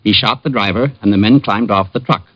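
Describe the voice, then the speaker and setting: A man's voice: fairly low pitch, a little fast, no room sound, lightly acted. A man playing a pedantic, humourless police sergeant in an old-time radio drama, stiff and precise. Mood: precise, matter-of-fact. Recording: dull, dry vintage radio recording.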